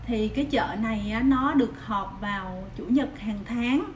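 A woman's voice: fairly high pitch, moderate pace, lo-fi sound, slight background noise. A woman in her thirties casually narrating a travel vlog, chatting directly to viewers with lots of fillers, at home and then walking through a busy street market. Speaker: vlogger. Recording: background music over the voice.

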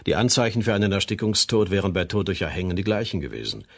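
Male forensic pathologist for radio crime drama: low, husky, precise and sceptical. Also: big pitch swings, exaggerated voice. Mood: clinical, firm. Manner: measured, clinical, explanatory.

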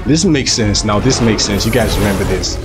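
A young man with a cold, hosting a gaming channel and talking over his gameplay in a chatty, joking way, with a hoarse voice. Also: lowish voice, lively intonation, fairly quick, music in the background. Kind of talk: casual gameplay commentary.

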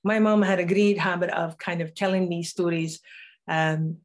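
An older woman with a Northeast Scottish accent, giving a reflective conference talk to a webcam at home and telling stories with pauses. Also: fairly low pitch, steady tone. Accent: Northeast Scottish, Doric. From northeast Scotland. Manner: storytelling, reflective, unhurried with pauses. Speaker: conference speaker, storyteller. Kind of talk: personal talk to camera. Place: home room with curtains, indoors.

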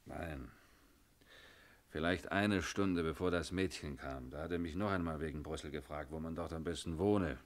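A man's voice: very deep voice, moderate pace. An actor playing a guarded, cheeky barman under police questioning in a German radio crime drama, explaining in short bursts.

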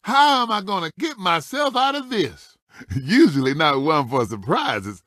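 An actor playing a feisty old talking race car in a video game, a folksy, cocky veteran racer firing off exclamatory one-liners. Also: male voice, fairly high pitch, sing-song, a little slow, fairly bright tone, dry recording, clean studio sound. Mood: folksy, spirited. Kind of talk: animated video game character voice clips.

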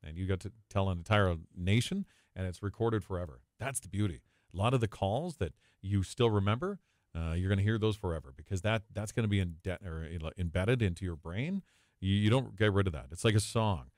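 A man's voice: deep voice, animated, fairly quick, darkish tone, no echo, clean studio sound. A man, a guest who works as an arena announcer, talking in an effusive, emphatic way.

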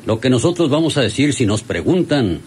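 Actor as brash, animated rural Mexican bandit. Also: male voice, medium pitch, brisk, natural voice. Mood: annoyed. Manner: folksy, brash. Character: brash, dismissive rustic Mexican treasure-hunting bandit. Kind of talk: old Spanish-language radio serial acting. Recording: noisy old radio drama recording.

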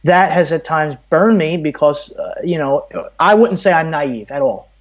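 A man's voice: a little high, measured pace. American man, dull lo-fi audio. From Brooklyn, New York, USA. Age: 30s. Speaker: podcast guest. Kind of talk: conversational interview, opinionated.

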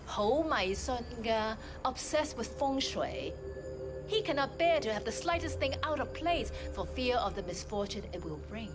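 An actress as a female crime boss in a game cutscene. She is measured and calculating, with a quiet menace in every deliberate phrase, over clearly audible music. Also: fairly high pitch, lively intonation, natural voice. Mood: quietly menacing. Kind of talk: dramatic video game cutscene acting.

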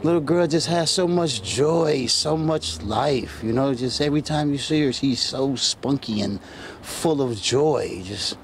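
A man speaking haltingly in a street interview outside a house, into a handheld news mic. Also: medium pitch, lively intonation, a little hiss or hum. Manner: halting, emotional. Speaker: great uncle of the girl. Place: outdoors by a house.

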